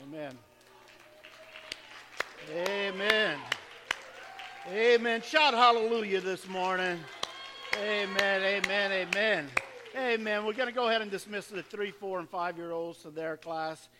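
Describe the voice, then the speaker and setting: A male pastor leading a church service, fervently urging the congregation to praise and chuckling through casual asides, his voice a little hoarse. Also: sits high, measured pace, pauses often, a crowd in the background, background noise. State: slightly hoarse from worshiping. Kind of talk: church service exhortation and announcements. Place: church sanctuary. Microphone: handheld or stage microphone.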